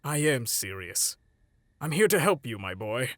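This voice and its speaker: A man acting an earnest, fatherly older hero in a fan comic dub, pleading in a measured, dramatic way. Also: mid-range voice, very animated, no room sound, clean studio sound. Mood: earnest, gentle.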